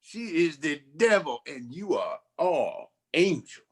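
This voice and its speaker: An actor playing a glib, wisecracking 1940s private detective in a campy noir radio play. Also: male voice, fairly high pitch, very animated, slow, husky, full character voice. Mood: sweet-talking, pleading. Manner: glib, slangy.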